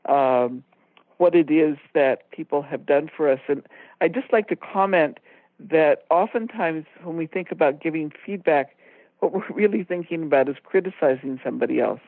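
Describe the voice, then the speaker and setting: A woman co-hosting a radio talk show, explaining her points in a measured, orderly way. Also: low-pitched, dry recording. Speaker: co-host and author. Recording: dry tinny phone line.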